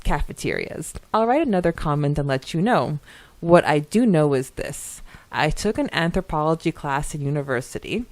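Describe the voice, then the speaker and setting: Animated, lower-voiced woman podcast co-host. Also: dry recording. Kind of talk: casual chat and reading listener comments aloud.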